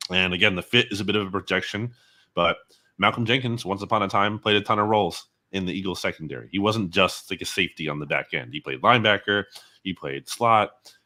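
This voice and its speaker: A man speaking as a guest sports analyst in a football interview, measured and analytical. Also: deep voice, bright, forceful tone.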